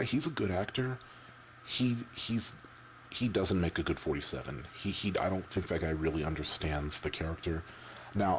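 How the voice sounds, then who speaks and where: A man giving casual, off-the-cuff opinions as a vlogger, halting and repeating words as he rambles. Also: deep voice, slight background noise.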